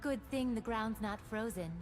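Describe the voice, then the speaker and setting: High-voiced young sorceress played by a woman. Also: normal speed, darkish tone, soft background music, natural voice. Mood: cool, smug. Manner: theatrical, clipped one-liners. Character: young female elemental mage, poised, teasing, shifting dreamy to sinister. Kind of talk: video game character voicelines.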